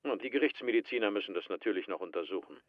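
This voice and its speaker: An actor playing a taciturn, gruff forensic technician in a crime radio drama. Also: male voice, on the low side, animated, racing, highs cut off, natural voice. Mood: terse, grim.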